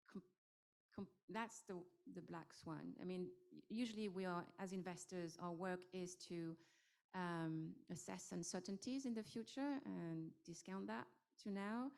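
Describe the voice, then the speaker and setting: A woman's voice: lowish voice, creaky, a little mellow. A woman with a French accent speaking as an investor on a panel, hesitant with many fillers and restarts. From France. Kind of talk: panel discussion.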